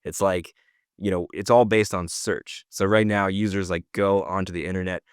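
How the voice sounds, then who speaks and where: A man's voice: deep voice, fairly even intonation, moderate pace, clean tone, no room sound, studio quality. A man co-hosting a podcast, talking through news casually in an enthusiastic, explanatory way. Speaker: podcast co-host presenting news.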